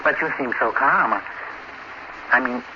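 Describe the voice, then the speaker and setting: A man's voice: a little high, sing-song, normal speed, creaky, heavily acted. A man acting a timid, apologetic neighbor in a 1950s radio drama, hesitant and stammering politely. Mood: nervous, apologetic. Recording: dull, noisy old radio recording.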